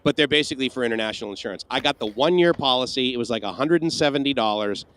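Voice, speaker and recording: A man's voice: mid-range voice, very fast, fairly bright tone. A man co-hosting a livestream Q&A, chatting casually and rambling with asides and restarts. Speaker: livestream co-host. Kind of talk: casual Q&A chat.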